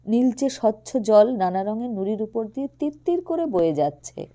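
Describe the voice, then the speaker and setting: A woman reading a literary romantic story aloud as narrator, in an expressive storytelling style. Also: a little high.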